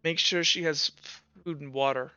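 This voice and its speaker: A man voicing a weary, stressed female guard commander in a tabletop game, halting and flustered. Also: a little high, steady tone, pushed and bright, smooth, no room sound, dull audio. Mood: tired.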